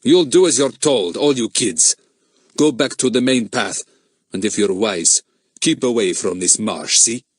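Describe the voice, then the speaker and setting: A man's voice: medium pitch, expressive, a little slow, hardly any pauses, a bit bright, dry recording, studio quality. Threatening foreign countryman, voiced by a male reader, stern. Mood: menacing. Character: menacing foreign countryman, grim.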